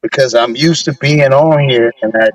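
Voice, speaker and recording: A man with a raspy voice calling into a casual chat, preaching and repeating his points for emphasis. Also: mid-range voice, moderate pace. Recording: dull lo-fi call audio.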